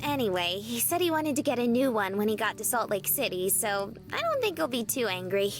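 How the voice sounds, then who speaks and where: Cute quirky young woman in a visual novel, high voice, super animated, playful. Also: brisk, music in the background. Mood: chatty. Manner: playful, pouty, expressive. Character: quirky, cheerful young woman from a big family.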